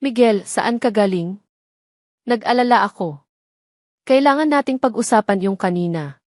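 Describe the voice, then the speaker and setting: A woman's voice: mid-range voice, normal speed, darkish tone, no room sound, studio quality, lightly acted. A woman voicing a worried, earnest female caregiver in an audio romance drama.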